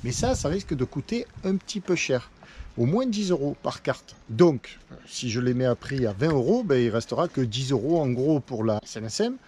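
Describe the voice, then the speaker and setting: A man chats away in a mellow, warm voice, rambling and correcting himself as he goes. His pitch sits mid-range. A faint hiss of noise hangs behind him. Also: sing-song, moderate pace. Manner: chatty, rambling, self-correcting. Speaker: vlogger and watercolour painter. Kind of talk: casual vlog talk to camera. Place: on a boat.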